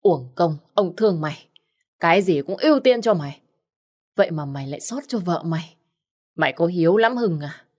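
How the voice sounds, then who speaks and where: A woman's voice: on the low side, expressive, moderate pace, a little mellow. A woman doing a scolding contemptuous cousin.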